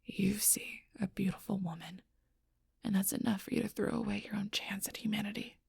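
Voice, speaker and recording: A woman acts out a wounded, fiercely protective she-werewolf in an audio roleplay. Her voice is husky and full of fry, captured very dry and close. She speaks straight to the listener with bitter, confrontational intensity. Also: fairly low pitch, normal speed, no echo, exaggerated voice. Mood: wounded, subdued. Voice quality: breathy, husky, rough-edged. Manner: intense, confrontational, swearing, often dropping to near-whisper.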